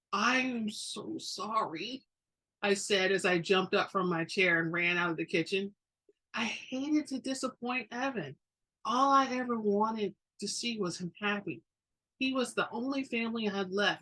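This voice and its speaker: Bright-voiced woman reading with dramatic flair. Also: medium pitch, rough recording. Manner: expressive, theatrical delivery. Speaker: book reader and channel host. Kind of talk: dramatic audiobook narration.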